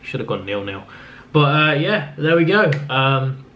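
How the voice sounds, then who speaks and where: A man at an average pitch, losing his voice as he talks through a football recap. His delivery is subdued and flat, full of fillers and restarts. The recording is slightly dull and lo-fi, with a faint hiss underneath. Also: unhurried. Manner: rambling, with frequent restarts and fillers. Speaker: football vlogger. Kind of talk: casual solo football recap. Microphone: camera mic.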